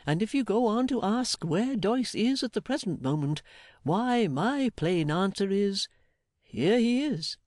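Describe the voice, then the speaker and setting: A man voicing a jolly, blustering older English gentleman in an audiobook, fatherly, emphatic and effusive. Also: high-pitched, expressive, a little slow, dry recording, clean studio sound, voice pushed up. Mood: jovial, bustling. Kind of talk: dramatised audiobook character.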